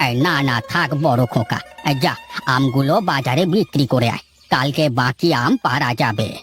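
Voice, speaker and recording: An actor plays an aged village father in a cartoon story. The old figure is affectionate and excitable, exclaiming and repeating in homely colloquial talk. Loud music runs behind the voice. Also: medium pitch, moderate pace, natural voice. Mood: urging. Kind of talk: Bengali cartoon story dialogue.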